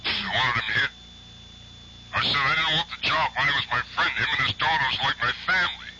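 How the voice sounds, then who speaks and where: A hardened gangster confesses in terse radio-drama monologue. The voice is very forceful and edged, coming off a narrow, lo-fi tape with a faint hum. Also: male voice, mid-range voice, fairly quick. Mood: grim, regretful. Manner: matter-of-fact, terse, confessional. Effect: played-back tape. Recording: tinny, band-limited tape with hum.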